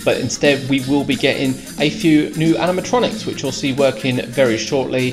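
A man with a British accent narrates a tour in the manner of a theme park vlogger. His pitch is ordinary for a man. Guitar music plays clearly behind his descriptive commentary.

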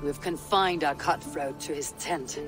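An actor playing a calm, resolute Viking warrior who speaks tersely. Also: male voice, on the high side, a little slow, natural voice. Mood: steady. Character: steady young adult male Viking warrior. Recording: game soundtrack with music behind.

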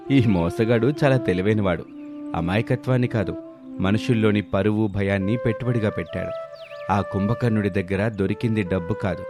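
A man's voice: lowish voice, lightly acted. A man playing a clever, observant young farmer, reasoning to himself in a storybook tale. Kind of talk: scripted story monologue. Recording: background music behind the voice.

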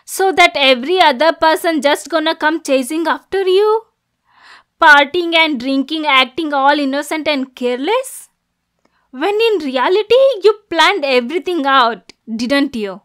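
A woman's voice: high voice, unhurried. A woman voicing a possessive, jealous yandere girlfriend in an ASMR roleplay, menacing and cooing by turns. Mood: furious, accusing. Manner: swings between soft cooing and angry, degrading outbursts. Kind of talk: ASMR roleplay, dramatic monologue.

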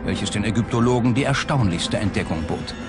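A man's voice: on the low side, lively intonation, fairly quick. A man reading scripted documentary narration as the narrator. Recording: music bed under the voice. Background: background music.

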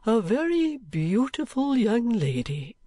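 A man acts out a grey-headed old gentleman from a storybook, pitched well above a normal male speaking voice. He is kindly, excitable and sentimental. His phrases come slowly and emphatically, with halts and repeats, in a dry, close sound. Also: dry recording, heavily acted. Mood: grave, hesitant. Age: older. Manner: emphatic, repetitive, halting, drawn-out delivery.

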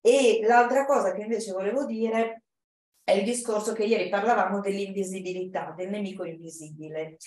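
A woman gives informal, reflective comments as a seminar host. She feels her way through her thoughts in a mid-pitched voice, with clear classroom echo. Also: brisk, darkish tone. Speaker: lecturer hosting a guest. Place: classroom, indoors. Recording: echoey classroom sound.

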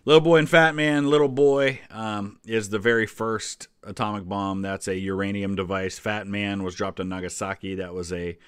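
A man in his forties with a fairly low voice talks through history as a reacting video host. He is conversational and explanatory, putting weight on key answers. The recording is very dry, with no room sound. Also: steady tone, moderate pace, hardly any pauses, fairly bright tone, clean tone, no echo. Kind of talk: casual explanatory reaction commentary.

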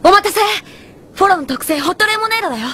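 An actress playing a caring young boy in an audio drama, speaking softly and reassuringly. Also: very high-pitched, animated, fast talker, exaggerated voice. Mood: cheerful, caring. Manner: soft, tender, reassuring. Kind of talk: anime-style audio drama acting.

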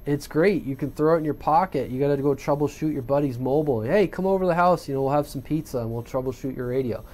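A man's voice: on the high side, fast, a little mellow. A man giving an unscripted, casual hobby radio tutorial in a plain-spoken, colloquial way, often checking in with the listener. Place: indoors at a home workbench.

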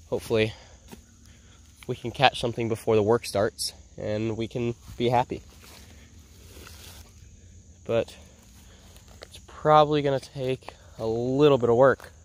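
A young man with a congested, stuffy voice, chatting casually as a vlogger while searching outdoors in the woods, recorded on a head-mounted action camera. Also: medium pitch. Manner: chatty, excited, self-narrating while searching.

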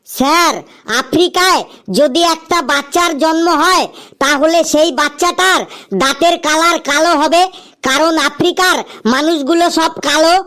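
A woman acts out a foolish, cheeky cartoon interviewee with an extremely high-pitched voice. The candidate sounds eager and overconfident, exaggerating each line for comedy. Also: steady tone, moderate pace, fairly bright tone.

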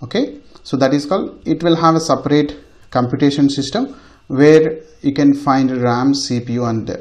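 A man with a mid-pitched voice is teaching in an informal tutorial style. He explains as he goes, like an instructor walking through a demonstration. He often says a phrase again to drive it home. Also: normal speed, darkish tone. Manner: repeats phrases for emphasis. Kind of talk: informal technical lecture over a screen demonstration.

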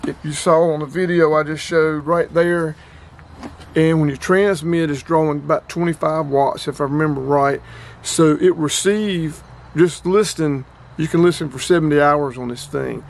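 Plainspoken middle-aged man, slightly high voice. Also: gravelly. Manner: plainspoken, rambling, repeats numbers. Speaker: outdoors and radio hobby vlogger. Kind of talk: casual gear demo and review. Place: small indoor room, gear shelves behind.

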